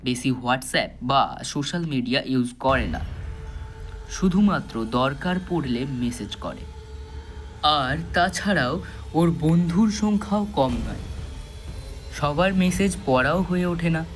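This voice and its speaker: A male narrator with a slightly high voice tells a thriller story. He phrases it dramatically, with measured pauses, over soft background music. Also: lively intonation.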